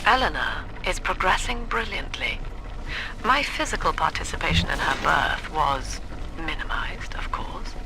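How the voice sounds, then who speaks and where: A woman's voice: sits low, big pitch swings, unhurried, creaky, echoey room, long reverb tail, noisy background, heavily acted. An actress playing a cold, imperious woman demagogue, speaking with clinical, oratorical precision in a video game. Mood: clinical, proud. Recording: music behind the voice.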